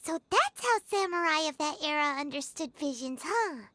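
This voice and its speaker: A woman voicing a tiny, bubbly female fairy companion in a game, with a very high, squeaky voice and a fussing manner. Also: lively intonation, normal speed, fairly bright tone, soft background music. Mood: curious. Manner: bubbly, fussing over others. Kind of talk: game voice acting.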